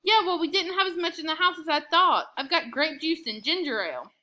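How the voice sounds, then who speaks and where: A woman's voice: extremely high pitch, lively intonation, fairly quick, pushed and bright, pitched above the performer's own voice, exaggerated voice. A woman reading the part of an enterprising young boy in a storybook, eager and a bit sarcastic. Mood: annoyed.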